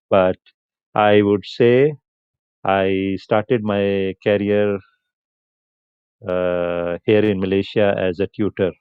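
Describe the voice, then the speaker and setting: A man hosts a live stream, speaking in an unhurried, chatty way and laughing now and then. His low voice is smooth and clear. The sound is dry and a little muffled. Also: no room sound. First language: Punjabi. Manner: unhurried, chatty, answers comments, laughs often. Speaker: livestream host. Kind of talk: casual live stream chat with viewers.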